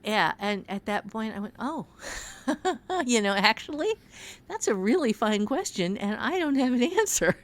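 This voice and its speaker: A woman's voice: on the high side, very animated, a little slow. A woman speaking as a writing teacher in a conversational podcast, earnest and emphatic, repeating phrases to drive her point home.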